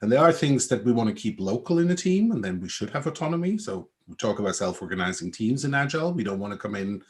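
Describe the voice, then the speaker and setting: A man with a Swiss accent and a fairly low voice talks on a video-call panel. He lays out his ideas in a thoughtful, explanatory way, as an agile coach. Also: sing-song, quick pace, few pauses, a little mellow. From Switzerland. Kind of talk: panel discussion.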